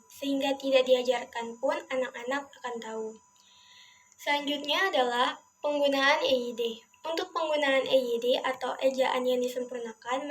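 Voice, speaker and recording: A young woman speaks in a high voice, delivering a prepared student presentation. Her delivery is formal and recited, and the amateur recording has clearly audible background noise. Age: young adult. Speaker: university student.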